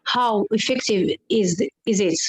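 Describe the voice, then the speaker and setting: A woman's voice: medium pitch, unhurried, breathy edge, no echo, low-quality recording. A woman from Morocco, an English teacher speaking on an online round table, hesitant and full of fillers and restarts. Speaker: English teacher and club coordinator.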